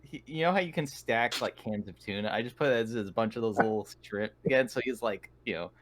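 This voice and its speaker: A man with a slightly low voice plays a tabletop game. He speaks casually and a bit folksily, moving between his character's words and plain table chat. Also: quick pace, a crowd in the background.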